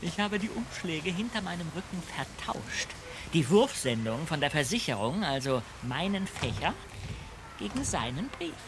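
A man's voice: a little high, very animated, normal speed, noisy background. An actor playing a bold, cheeky teenage boy detective in an audio drama, cocky and colloquial. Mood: smug. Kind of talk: German audio drama acting.

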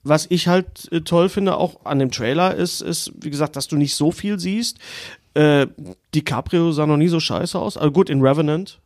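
A man's voice: fairly high pitch, moderate pace, darkish tone, no echo, studio quality. A man talking casually about films as a podcast co-host. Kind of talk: casual film discussion.

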